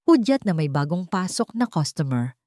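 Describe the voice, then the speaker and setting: Woman, low pitch, scripted drama narration, deliberate delivery, dry close recording. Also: big pitch swings, a little mellow, no echo. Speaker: storyteller, narrator. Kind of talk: scripted dramatic story narration in Tagalog radio drama manner.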